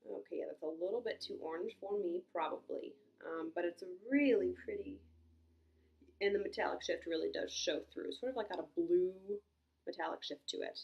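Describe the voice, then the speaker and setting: A young woman with a stuffy, congested voice that sits a little low, chatting through a casual makeup review. She thinks out loud, with plenty of ums and quick little exclamations as she tries each shade. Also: gravelly, muffled recording. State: congested from a cold. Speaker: beauty vlogger.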